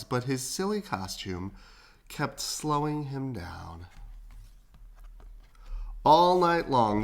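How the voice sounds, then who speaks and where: A woman reading a children's storybook aloud expressively, with dramatic pauses and casual asides. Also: extremely low pitch, a bit bright. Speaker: storybook reader. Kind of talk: animated children's read-aloud with casual chat.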